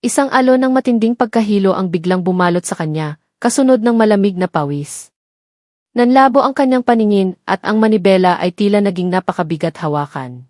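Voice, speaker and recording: Female narrator for scripted romantic drama, medium pitch, dry recording. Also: lively intonation, a little fast, a little mellow, no room sound.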